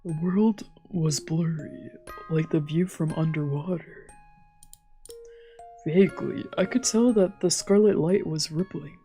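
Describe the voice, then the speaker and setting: A woman's voice: low voice, unhurried, warm tone, faint music behind. A woman streamer reading a visual novel aloud as dramatic narration. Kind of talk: dramatic narration of a let's play.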